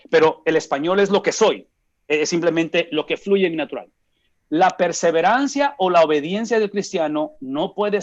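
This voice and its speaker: A man in his forties with a Mexican accent, teaching a Bible study in an emphatic way and answering his own rhetorical questions. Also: on the high side. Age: 40s. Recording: dull lo-fi recording.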